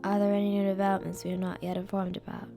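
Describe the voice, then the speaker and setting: A woman playing a composed vampire council member in a scripted roleplay, with formal, measured delivery. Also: mid-range voice, completely flat delivery, music in the background, close to an everyday voice. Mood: calm, grave.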